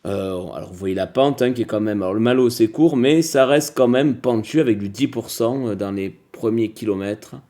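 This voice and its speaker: A man's voice: medium pitch, moderate pace, few pauses, mellow. A man co-hosting a cycling podcast, talking casually with many hesitations and restarts.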